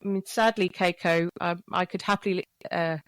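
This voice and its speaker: Smooth, even-toned podcast host woman. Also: on the low side, no room sound. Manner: thoughtful, measured, with many restarts. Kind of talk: reflective interview talk.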